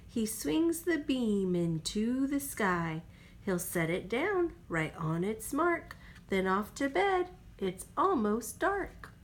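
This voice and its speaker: Woman, mother reading to child, storybook read-aloud, slow pace, animated intonation, expressive delivery. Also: mid-range voice. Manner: expressive, drops to whispers for goodnights.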